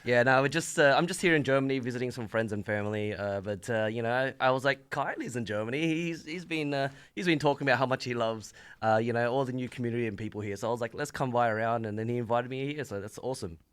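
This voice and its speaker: A man's voice: mid-range voice, fast, hardly any pauses, fairly bright tone, clear, resonant tone. A man with an Australian accent chatting casually as a podcast guest, in a joking, friendly manner. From Sydney, Australia. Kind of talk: casual chat.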